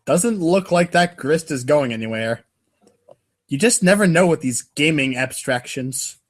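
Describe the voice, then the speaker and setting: A man's voice: on the high side, lively intonation, a little slow. A man narrating a comedic readers' theater piece in a mock-serious, theatrical way.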